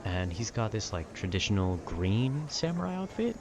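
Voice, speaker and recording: Young guy w a deep low voice, nerdy casual rambling, keeps losing his thread, noisy ambient background. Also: very animated, normal speed. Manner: nerdy, meandering, slangy, often trailing off and losing his thread. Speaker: audio content creator rambling to listeners. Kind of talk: casual rambling talk about video games. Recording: clear background noise, urban ambience.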